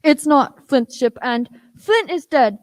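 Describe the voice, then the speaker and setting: A woman playing a polite, wary young cabin boy in a radio play, speaking in a deliberate way. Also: high voice, animated.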